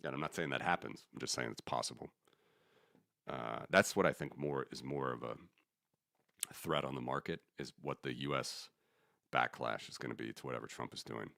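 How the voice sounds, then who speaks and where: A man's voice: very deep voice, moderate pace, gravelly, bright, forceful tone, no echo, studio quality. A man who grew up in the United States, hosting a casual economics podcast and thinking aloud in a rambling, opinionated way with many restarts. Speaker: podcast host.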